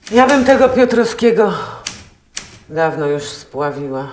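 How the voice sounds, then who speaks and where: An actress playing a disdainful, sharp-tongued middle-aged office worker in a film drama. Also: female voice, medium pitch, sing-song, a little slow. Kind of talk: naturalistic film drama dialogue. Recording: roomy, slightly noisy office sound.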